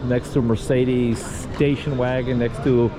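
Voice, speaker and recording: A man with a hoarse, raspy voice hosts a casual vlog, chatting in a folksy way to viewers while walking through a car show. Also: mid-range voice, little pitch movement, moderate pace. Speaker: vlog host. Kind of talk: casual walk-around vlog. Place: car show halls and grounds, indoors and outdoors. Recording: noisy venue background.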